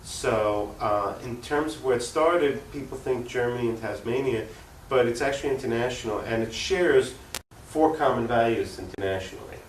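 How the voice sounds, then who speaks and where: A man's voice: mid-range voice, normal speed. An American man gives explanatory interview answers as a political activist. His mid-range voice is earnest and didactic, leaning on repetition for emphasis. He sounds set back from the microphone in an echoey room with faint noise. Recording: roomy, distant mic, faint noise.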